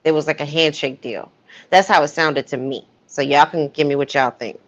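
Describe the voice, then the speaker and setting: A woman's voice: low voice, steady tone, moderate pace. A woman giving opinionated, emphatic gossip commentary in a casual livestream chat. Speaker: co-host of a livestream. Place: livestream call. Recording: muffled lo-fi livestream audio.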